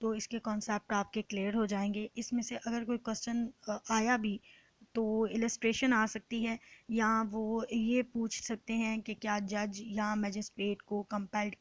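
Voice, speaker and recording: A woman with a Pakistani accent giving an explanatory lecture in a deliberate, instructive way, repeating points in other words. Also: medium pitch, dry recording. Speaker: law lecturer. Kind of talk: explanatory exam-prep lecture, reading and translating.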